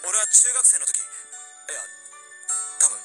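A man's voice: high voice, lively intonation, a little slow, loud music behind the voice, voice pushed up. A man playing an earnest young man confessing his love in a radio drama. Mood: earnest, tender.

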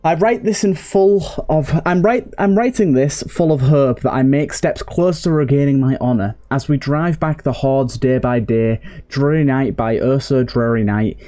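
A man's voice: medium pitch, normal speed, warm tone, lightly acted. Solemn fantasy adventurer's journal read by a man. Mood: weary hope. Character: troubled adventurer reading out a grim journal entry. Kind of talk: dramatic read-out of fantasy diary entries.

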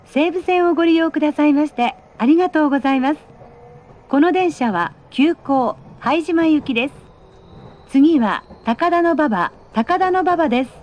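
A woman reading prerecorded train announcements in a polite, measured, precisely phrased manner. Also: high-pitched, darkish tone. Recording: dull, band-limited train announcement audio.

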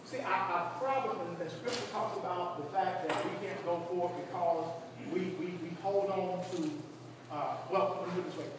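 Echoey, high-pitched male pastor teaching. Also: normal speed. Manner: emphatic, repeats phrases, seeks responses. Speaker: pastor leading a church workshop. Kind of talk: preaching-style teaching to a live group. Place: church meeting room with seated audience. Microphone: distant camera among the audience. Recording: echoey hall, distant mic, noisy room.

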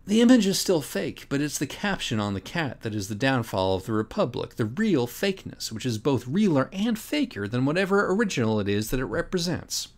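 A man reading an audiobook as a dramatic rant, emphatic and sardonic in manner. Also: mid-range voice. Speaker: audiobook reader. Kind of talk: dramatic first-person reading of a mock-philosophical rant.

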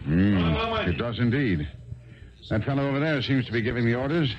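Guy acting as confident detective in old radio play, animated, articulate, probing, dull vintage sound. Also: mid-range voice, normal speed, over a noisy crowd, close to an everyday voice. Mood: brisk, assured. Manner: articulate, polite, assured. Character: confident, shrewd gentleman detective, smooth and probing. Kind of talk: 1970s radio drama acting. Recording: dull 1970s radio recording.

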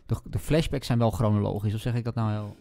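A man's voice: on the low side, fairly even intonation, fast talker, vocal fry, warm tone. A man co-hosting a podcast in a casual discussion about books. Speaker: podcast co-host.

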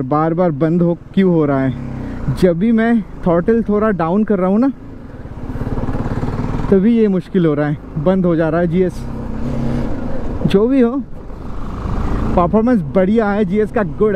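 A man's voice: high-pitched, unhurried, long pauses. A man giving casual commentary to viewers while riding a motorcycle on a mountain road outdoors. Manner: chatty, addresses viewers as brother. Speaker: motovlogger. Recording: muffled, with loud engine and wind noise.

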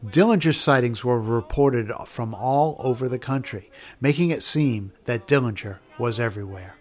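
A man's voice: mid-range voice, moderate pace. A man reading scripted documentary narration as the narrator. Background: music.

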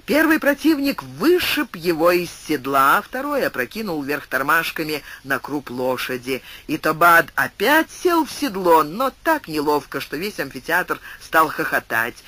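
A male audiobook narrator with a higher, bright voice. His reading is dramatic and animated, swinging up and down in pitch and loudness as he tells a classic tale. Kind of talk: expressive literary narration.

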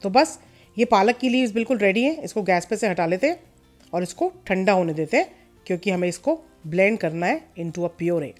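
A woman hosting a cooking channel, calmly talking viewers through a recipe step by step in a voice-over and mixing in English words. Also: medium pitch, brisk, faint music behind.

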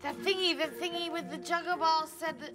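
A woman's voice: very high voice, fairly even intonation, fairly quick. An actress playing a giddy, drunk blonde woman with memory loss, talking in a slurred, rambling, childlike way. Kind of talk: TV drama acting. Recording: music score behind dialogue.

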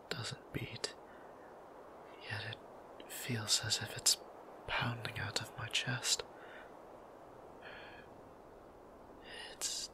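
A shy, tender vampire priest, played by a man, murmurs in a low, creaky whisper. He speaks very slowly and haltingly, with gentle formality, as in an intimate ASMR roleplay. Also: poor audio. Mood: breathless longing.

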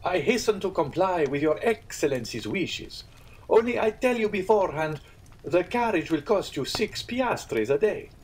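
Man voicing a fawning innkeeper, high obsequious voice, eager, storybook reading. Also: very animated, normal speed, a little mellow, a little hiss or hum, poor audio. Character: fawning Italian innkeeper, middle-aged man.

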